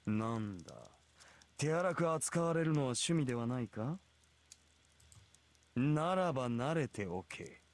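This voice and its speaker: A man's voice: mid-range voice, animated, moderate pace, pauses often, natural voice. An actor voicing an arrogant, merciless heroic king in a visual novel, with a haughty, sneering and imperious manner. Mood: amused, predatory. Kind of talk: Japanese visual novel voice acting.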